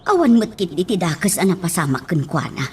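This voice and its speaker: An actress playing a deferential, anxious household nanny in a radio drama, with noise in the background. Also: female voice, lowish voice, sing-song, brisk.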